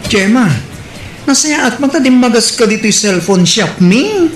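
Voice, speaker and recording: Woman acting teasing complaining neighbor, Ilocano accent, fairly high voice, roomy sound, comedy drama. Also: expressive, normal speed, soft background music, natural voice. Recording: roomy echo, faint background noise.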